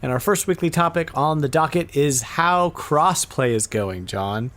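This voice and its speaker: A man's voice: fairly high pitch, expressive, a little slow, a bit bright. Casual male podcast host. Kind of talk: casual chat about retro video games.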